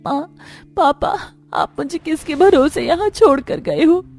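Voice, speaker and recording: A woman's voice: sits high, lively intonation, a little slow, vocal fry, darkish tone, pitched above the performer's own voice, full character voice. Woman doing a sad timid cartoon girl, plaintive voice, music behind. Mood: tearful. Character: sad, meek young stepdaughter trapped in a palace. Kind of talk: cartoon story voice acting.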